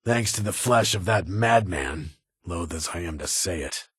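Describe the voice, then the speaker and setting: Stern, husky military commander. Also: male voice, low-pitched, expressive, measured pace, fairly bright tone, no room sound, studio quality, full character voice. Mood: businesslike, guarded. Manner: formal, clipped, commanding. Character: stern military security leader, guarded but fair. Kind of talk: video game character dialogue.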